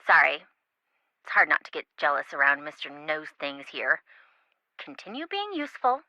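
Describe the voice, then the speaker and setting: A woman's voice: sits low, sing-song, moderate pace, bright, forceful tone, no echo, dull audio, exaggerated voice. An actress playing a sassy young woman, a wisecracking best friend in a video game, with a teasing, sarcastic manner. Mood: sarcastic, exasperated. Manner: teasing, sarcastic, dryly exaggerated.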